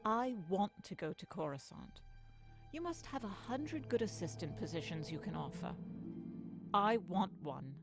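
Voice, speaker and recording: A woman's voice: medium pitch, lively intonation, unhurried, over music. A woman playing a proud, defiant young political aide in a comic dub, speaking firmly and assertively. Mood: determined. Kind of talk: acted comic dub dialogue.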